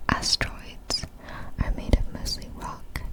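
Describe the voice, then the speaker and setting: A woman whispering ASMR narration of facts in a breathy, fully unvoiced whisper, with careful, deliberate phrasing. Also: sharp tone. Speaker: ASMR creator.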